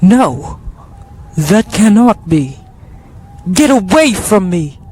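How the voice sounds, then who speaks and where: A man playing a proud war general in an amateur radio drama, speaking in a declamatory, deliberate way. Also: high-pitched, sing-song, darkish tone, husky, soft background music, full character voice. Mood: alarmed, disbelieving. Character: proud Scottish war general, startled and disbelieving.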